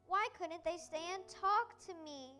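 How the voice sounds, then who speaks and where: A woman plays an innocent little toy rabbit in a storybook theater reading. The voice is extremely high and piercingly bright, with an eager, childlike way of exclaiming. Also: animated, unhurried, exaggerated voice. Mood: wistful.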